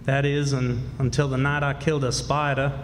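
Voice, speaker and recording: A man's voice: mid-range voice, little pitch movement, moderate pace, natural voice. Man playing rustic river boy in an echoey hall. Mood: folksy, matter-of-fact. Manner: rustic, ungrammatical, rambling. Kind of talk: stage radio drama, first-person folksy storytelling. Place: live stage in a hall. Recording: echoey hall stage, background noise.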